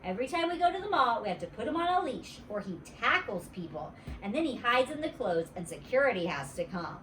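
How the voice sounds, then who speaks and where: A woman voicing a boastful, nosy little schoolgirl in a storybook, excitable and childish. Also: on the high side, brisk, a little hiss or hum. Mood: matter-of-fact, teasing. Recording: roomy echo, distant mic.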